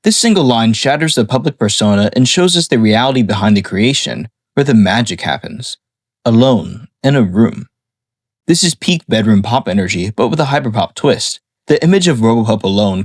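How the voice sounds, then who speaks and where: A man reading a scripted analysis of a song in a measured, explanatory way. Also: on the low side, lively intonation, no echo, clean studio sound. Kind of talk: scripted narration.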